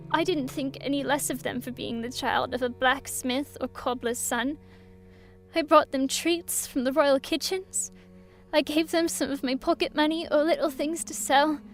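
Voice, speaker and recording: A young orphaned princess in an audio drama, acted by a woman. Her voice is high and childlike, earnest and resolute beneath old hurt. Soft music runs underneath. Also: fairly even intonation, unhurried. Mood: hurt, confiding.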